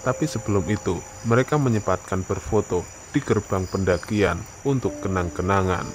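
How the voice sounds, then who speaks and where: A man's voice: low-pitched, normal speed. Man narrating over noisy night crickets. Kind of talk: scripted horror story narration.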